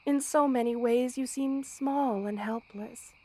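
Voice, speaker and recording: A high-pitched, tender spider queen, voiced by a woman, ancient and motherly. She speaks in measured, formal phrases meant to soothe. The delivery is intimate ASMR roleplay, addressed straight to the listener. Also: mellow. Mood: tender, reflective. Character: motherly, gentle two-hundred-year-old spider queen monster woman.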